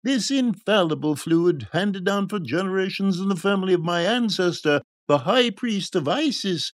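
Male narrator as showy quack doctor, high declaiming voice, pompous, grandiloquent barker's patter, storybook. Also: animated, normal speed, dry recording, studio quality. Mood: grand, showy. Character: pompous booming male charlatan peddling love potions. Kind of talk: audiobook character voice.

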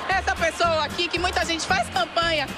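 A woman interviewer speaking loudly and energetically, cajoling a player. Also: very high voice, fairly even intonation, moderate pace, over music.

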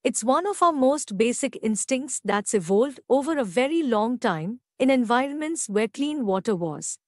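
A woman's voice: on the high side, animated, normal speed, no room sound, studio quality. A woman reading scripted practice passages aloud as a demonstration for an English test.

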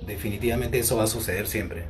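A man in his forties with a Peruvian Spanish accent, chatting casually outdoors with fans and often pausing on "eh" as he answers their questions on a phone. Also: fairly low pitch, slight background noise. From Peru. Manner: relaxed and unhurried, with frequent hesitant "eh" fillers. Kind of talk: casual live chat with fans. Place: outdoors in bright sun by a glass building. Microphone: phone held at arm's length, wireless earbuds.

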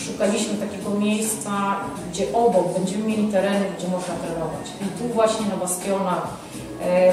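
A woman in her thirties, an instructor giving emphatic, explanatory interview answers, repeating points and adding short tag questions. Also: medium pitch. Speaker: ski and Nordic walking instructor, interview guest. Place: indoor ski-shop cafe. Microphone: handheld microphone with foam cover. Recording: echoey room, distant sound, music behind.

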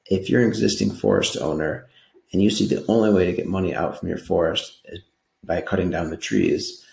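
American guy pitching over slides, really creaky low voice, echoey room. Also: lively intonation, fairly quick, darkish tone. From New York, United States. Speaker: presenter. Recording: roomy, echoing voice recording.

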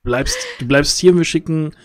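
A man's voice: on the high side, moderate pace, no echo, studio quality. A man talking casually as he improvises as a player in an online tabletop game. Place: indoor room at home, video call.

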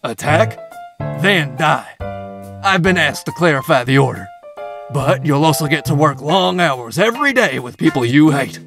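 A man's voice: on the high side, expressive, moderate pace, creaky, music in the background. A man with a Southern US accent playing a folksy, cheerfully grim engineer presenting a parody recruitment film in a cartoon. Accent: American South. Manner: folksy, hard-sell. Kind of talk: parody corporate training film narration.

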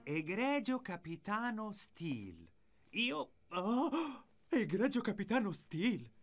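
A man's voice: sits high, big pitch swings, takes it slowly, full character voice. A man voicing a bumbling young firefighter and station cook in a children's cartoon, flustered and apologetic. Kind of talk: children's cartoon dub acting.

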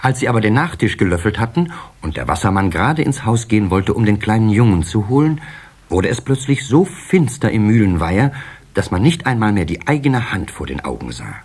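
Husky low-voiced storyteller man, very lively delivery. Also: fairly quick. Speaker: narrator. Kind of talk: scripted storybook narration for a children's audio play.

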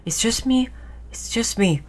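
A woman playing a gentle human who soothes their android companion in an intimate roleplay audio drama, tender and reassuring. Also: on the high side, animated, unhurried. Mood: alarmed, soothing. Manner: soothing, tender, hushed, repeating reassurances softly.

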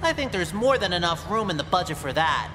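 A woman plays a nerdy young man in a visual novel game, creepy and fawning. The delivery is awkward and overeager. The sound has a long reverb and clear background noise. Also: lowish voice, very animated, fairly quick. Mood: eager, persuasive. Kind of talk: voiced visual novel dialogue.

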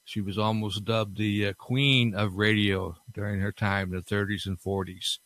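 A man hosting a radio-style podcast informally, with unhurried, halting phrasing. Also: lowish voice, little pitch movement, fairly bright tone, dry recording. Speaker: podcast host.

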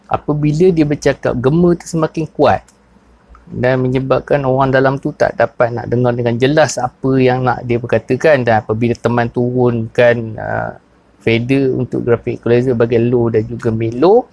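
A man in his thirties speaks with a Perak Malay accent, talking through his sound job in an easy, unhurried way full of pauses and fillers. He is recorded close on a clip-on lapel mic in a small cluttered office. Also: medium pitch, vocal fry. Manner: relaxed, many fillers and pauses. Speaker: sound technician. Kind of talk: casual explanatory vlog.

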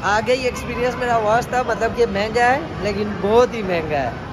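A man's voice: sits high, normal speed. A man chats casually and playfully to viewers in slangy, repeated phrases. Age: 20s. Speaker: travel vlogger. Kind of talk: casual vlog chat to viewers. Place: car, parking garage and busy indoor mall. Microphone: handheld phone at arm's length. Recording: noisy handheld recording with loud ambient noise.

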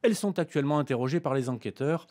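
A man's voice: medium pitch, lively intonation, fast talker, a little mellow. A middle-aged man presenting a scripted book review in a radio studio, reading passages aloud. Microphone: studio microphone, headset monitoring.

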